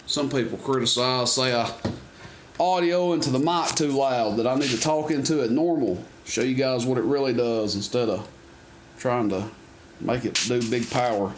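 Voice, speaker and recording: A man's voice: medium pitch, normal speed. A man giving a casual, folksy product demo in a rambling, unscripted way, like a seller showing off equipment. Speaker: presenter demonstrating and selling CB radios. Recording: noisy amateur camera recording, clicks.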